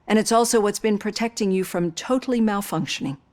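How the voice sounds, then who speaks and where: An actress playing an earnest woman doctor, speaking in measured, reassuring sentences. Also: medium pitch, darkish tone, lightly acted.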